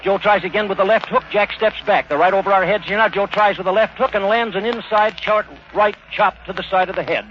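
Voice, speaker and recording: Fast, high-pitched man calling vintage radio boxing. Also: fairly even intonation. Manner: clipped, running present-tense description. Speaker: boxing commentator. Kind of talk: 1930s live radio blow-by-blow sports commentary. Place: outdoor stadium ringside. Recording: noisy, dull 1930s radio broadcast recording.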